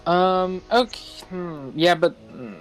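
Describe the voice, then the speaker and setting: A man playing a tabletop game, talking casually, halting and repeating himself as he deliberates. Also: sits high. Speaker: tabletop roleplaying player. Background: music.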